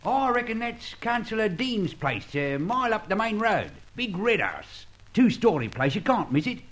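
A folksy local fellow in an old radio play, easygoing and amused. His voice is high and swoops very widely as he chats. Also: very animated, moderate pace, a bit bright. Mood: helpful, amused. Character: chatty, amused local man giving directions. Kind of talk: old radio serial acting.

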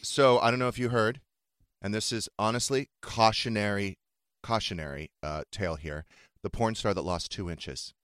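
A man with a low, bright and forceful voice, hosting casual comedic radio talk. He tells gossipy stories in a lively, animated way and swears freely, close on a dry studio microphone. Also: normal speed, clean tone, dry recording. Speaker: talk show host.

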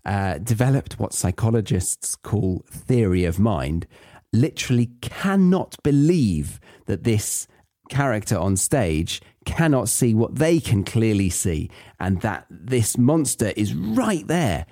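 A man's voice: lowish voice, big pitch swings, slow pace, dark tone, no room sound, clean studio sound. A British man hosting a podcast, chatting casually and explaining things to listeners. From Britain. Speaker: podcast host teaching English learners. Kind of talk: casual solo podcast talk.

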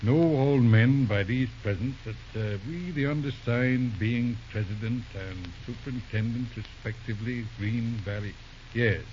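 An actor playing a shrewd, formal judge and lawyer, speaking deliberately and politely but pointedly in an old-time radio drama. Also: male voice, mid-range voice, a little mellow, a little hiss or hum, close to an everyday voice. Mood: courteous, probing. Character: shrewd, courteous lawyer judge, calmly cornering his opponent. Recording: muffled old radio recording.

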